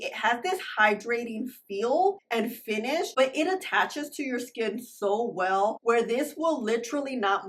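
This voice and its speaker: A woman beauty vlogger with a hoarse, slightly raspy voice from being under the weather, chatting upbeat through a makeup review and dropping in Spanish words. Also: a little high. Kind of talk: casual makeup review to camera.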